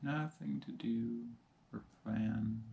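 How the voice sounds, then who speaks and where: A man teaching meditation, giving calm, measured instructions in short phrases with pauses between them. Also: mid-range voice, gravelly. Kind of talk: guided meditation, slow spoken instructions.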